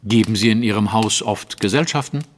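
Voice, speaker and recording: An actor playing a composed, analytical detective in an audio drama, measured, articulate and probing. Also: male voice, on the low side, a little hiss or hum, natural voice. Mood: cool probing. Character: composed, analytical male detective, sceptical and precise. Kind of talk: German audio drama acting.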